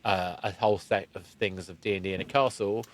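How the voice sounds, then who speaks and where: A man's voice: low-pitched, steady tone, a little fast. A man hosting a conversational podcast interview, often repeating and rephrasing his words as he goes. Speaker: podcast host.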